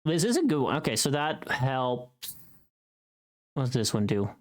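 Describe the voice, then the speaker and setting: Female streamer, deep-voiced and leisurely, for playful, casual, teasing live chat. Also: big pitch swings, measured pace, a little mellow. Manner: swears casually.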